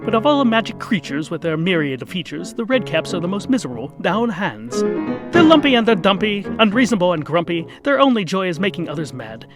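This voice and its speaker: Man doing a suave, effeminate lounge singer, high-pitched. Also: big pitch swings, brisk, over music. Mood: arch, playful. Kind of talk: comic rhyming patter song in fantasy audio story.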